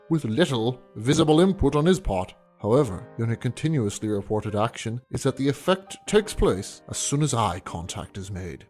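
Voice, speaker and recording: A man's voice: medium pitch, a little mellow. Formal scholarly man as a researcher, music underneath. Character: bookish male academic researcher presenting findings on a legend. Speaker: narrator. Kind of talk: scripted lecture-style story narration.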